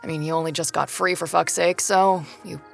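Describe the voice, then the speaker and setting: A self-conscious, hot-tempered nerdy woman in an audio drama. Her voice is low with heavy fry. She talks haltingly and bluntly, with casual swearing, over faint music. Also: steady tone. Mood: tender, awkward. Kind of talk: naturalistic audio drama acting.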